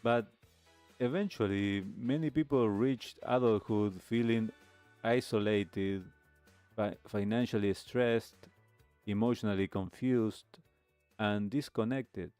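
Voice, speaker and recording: Slow, low-voiced male radio host. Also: darkish tone, clear, resonant tone, no room sound, soft background music. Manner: measured, deliberate phrasing with pauses. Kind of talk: reflective talk show monologue.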